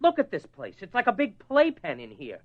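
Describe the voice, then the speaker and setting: An exasperated, high-pitched husband. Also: male voice, wildly swooping pitch, brisk. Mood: exasperated, angry. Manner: blunt, swearing, argumentative. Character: exasperated, short-tempered husband, worried about his obsessed wife. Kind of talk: dramatic radio horror play acting. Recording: dull lo-fi radio recording.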